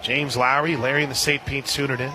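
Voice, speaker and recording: A man calling a softball game live as a radio play-by-play announcer, in a folksy, chatty way with listeners. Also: medium pitch, level tone, a little fast. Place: outdoor softball stadium. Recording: loud crowd and outdoor stadium noise. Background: crowd noise, outdoor ambience.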